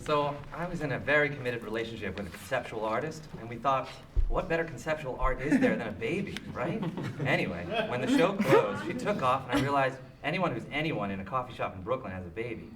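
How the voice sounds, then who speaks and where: Sitcom role: man as pretentious hipster dad, breezy, self-satisfied, medium pitch, distant mic, audience around. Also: lively intonation, brisk, crowd noise, lightly acted. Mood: smug. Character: smug, pretentious hipster young father. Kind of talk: sitcom table read.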